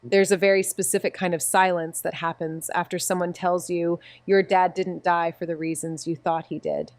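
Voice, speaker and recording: An actress playing a wry, sarcastic amateur detective in a noir audio drama. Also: female voice, lowish voice, flat delivery, normal speed, dry recording, natural voice. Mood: tense, reflective. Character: wry amateur girl detective, narrating her father's case.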